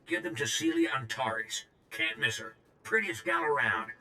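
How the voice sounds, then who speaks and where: Cocky slangy game droid, robotic, lowish pitch, real piercing tone, bit of rumble behind. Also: male voice, monotone, moderate pace, bright, forceful tone. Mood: brash, urgent. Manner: informal, slangy, blunt street talk. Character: brash reprogrammed protocol droid, folksy, slangy, male. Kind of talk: video game character dialogue.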